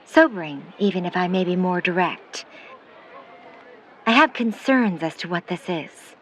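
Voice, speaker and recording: A woman playing a protective, skeptical middle-aged mother in a 1950s New York audio drama, speaking in formal, measured, wordy sentences. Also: on the low side, expressive, fairly bright tone, slight background noise. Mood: guarded, probing. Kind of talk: vintage-set audio drama acting.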